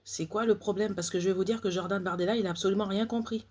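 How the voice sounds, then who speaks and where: A woman who grew up in Côte d'Ivoire, an author talking straight to her viewers, indignant and halting, full of fillers and repeated phrases. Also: medium pitch, steady tone, darkish tone, slight background noise. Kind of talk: direct-to-camera vlog rant.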